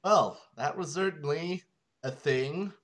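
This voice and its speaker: A man breaks in with hesitant, rambling comments, full of filler words. His voice sits somewhat high and bright, with lively pitch. He talks slowly and loosely. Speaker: co-host. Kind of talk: comedic banter.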